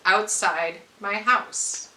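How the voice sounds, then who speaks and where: A woman reading a children's story aloud expressively and in a measured way, drawing out key phrases. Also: medium pitch, sharp tone, reverberant. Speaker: storybook reader.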